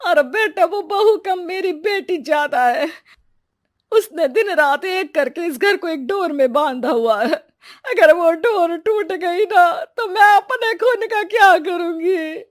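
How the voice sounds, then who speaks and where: A woman's voice: very high-pitched, lively intonation, takes it slowly, full character voice. A woman voicing a caring, emotional elderly mother-in-law in a family drama, fussing and affectionate. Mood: worried, pleading.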